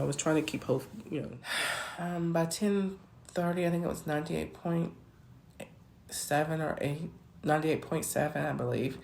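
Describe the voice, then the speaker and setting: Deep voiced woman, hoarse and gravelly, rambly casual chat, faint hiss. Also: darkish tone. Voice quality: naturally deep voice. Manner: rambling, self-correcting, conversational. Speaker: vlogger. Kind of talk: casual personal account.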